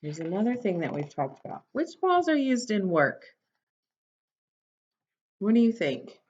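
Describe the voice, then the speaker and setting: A woman's voice: medium pitch, sing-song, unhurried, a little mellow. A woman teacher reading a picture book aloud to young children, instructing and prompting them with questions. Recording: dull, lo-fi sound.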